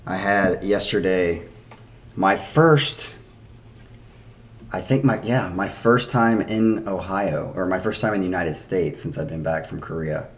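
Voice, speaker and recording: A man in his thirties, hoarse from a day of drinking, chatting loosely and rambling to his stream audience into a desk microphone. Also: low voice, a little slow. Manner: rambling, chatty, laughs often. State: hoarse after a night of drinking. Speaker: streamer reacting to music. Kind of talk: casual chat to a live stream.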